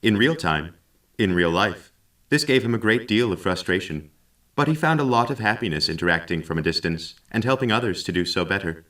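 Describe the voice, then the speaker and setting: A calm artificial intelligence of a science fiction radio play, acted by a man. The voice is low and measured, helpful and knowing, and sounds set back from the microphone. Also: far from the mic. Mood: reflective. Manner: measured, matter-of-fact. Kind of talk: scripted audio drama acting.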